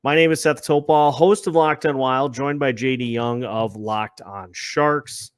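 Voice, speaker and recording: A man speaks as a sports podcast host at a middling pitch. He talks conversationally and often restates a phrase while building toward his point. The recording is dry, with very little room sound. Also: a little slow, clear, resonant tone, no echo. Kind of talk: conversational sports discussion with scripted-sounding intro.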